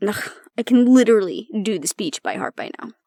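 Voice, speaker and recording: A woman's voice: mid-range voice, very animated, a little fast, warm tone, no room sound, clean studio sound. A woman acting in an audio roleplay as an exasperated, blunt agent, talking intimately and sardonically to the listener. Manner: blunt, sardonic, conversational, swearing. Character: exasperated woman agent venting about her stubborn, disapproving father.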